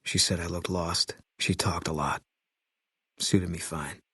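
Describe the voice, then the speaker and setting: A man voicing a grim, embittered military sniper in a video game, terse and guarded, with a husky voice. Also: low-pitched, unhurried, no room sound, clean studio sound, exaggerated voice. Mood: bitter, subdued. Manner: terse, guarded, flat, clipped delivery. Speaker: video game companion character. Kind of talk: game voice acting, terse dialogue lines.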